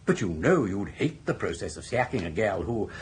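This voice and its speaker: Husky, suave old English gent. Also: male voice, lowish voice, big pitch swings, moderate pace, exaggerated voice. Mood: coaxing. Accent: upper-class English. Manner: flowery, persuasive, theatrical. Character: suave, roguish, persuasive upper-class older English gentleman. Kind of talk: British radio comedy drama acting.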